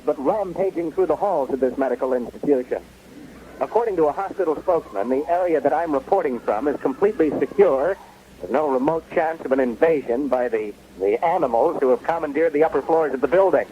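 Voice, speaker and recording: An actor playing a shaken male news reporter in a 1970s radio drama, speaking haltingly as he reports live from a besieged hospital. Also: a little high, natural voice. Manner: halting, stammering, repeating words. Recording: muffled, noisy old radio broadcast recording.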